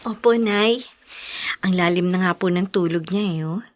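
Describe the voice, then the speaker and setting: A woman's voice: on the low side, normal speed, no room sound, close to an everyday voice. A woman playing a modest, playful young daughter in a Filipino audio drama, with a coy manner. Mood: soft, coy.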